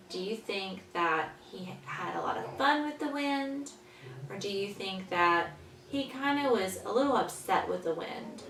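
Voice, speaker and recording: A woman teaching young children, patiently explaining in simple words and pausing after questions, as in a story lesson. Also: medium pitch, fairly bright tone. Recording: echoey room, distant mic, faint noise.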